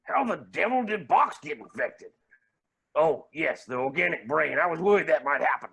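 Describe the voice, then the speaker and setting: Casting: gleeful pompous mad villain, audio comedy; sharp, raspy, wildly swooping delivery. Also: male voice, fairly high pitch, expressive, normal speed, heavily acted. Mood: condescending. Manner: pompous, theatrical, mock-polite. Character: flamboyant theatrical mad villain, gleeful, scheming, condescending. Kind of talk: comedic audio drama acting.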